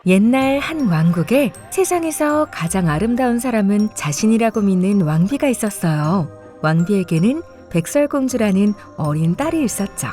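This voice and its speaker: A woman's voice: mid-range voice, sing-song, moderate pace, few pauses, dark tone. A woman narrating scripted fairy tales as a storyteller for children. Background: faint music.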